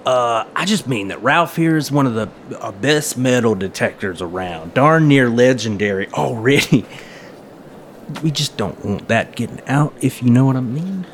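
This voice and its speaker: A man's voice: medium pitch, animated, normal speed, natural voice. A man acting in an audio drama as an eager, chummy metal detector club leader who talks in mock-official radio jargon. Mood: nervous, persuading. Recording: clear background noise.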